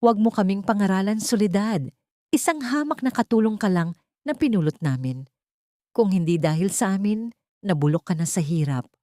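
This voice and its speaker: A woman's voice: medium pitch, lively intonation, normal speed, dark tone, dry recording, studio quality, lightly acted. A woman narrator voicing a cruel, greedy older mother-in-law, scornful and domineering, in an audio story. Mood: scornful anger. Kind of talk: dramatic audio story dialogue.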